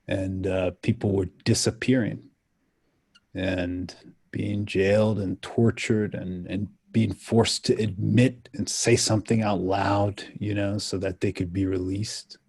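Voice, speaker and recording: Male panelist from Ethiopia, low and mellow, deliberate reflective delivery with emphatic passages. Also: steady tone, breathy edge, no room sound, clean studio sound.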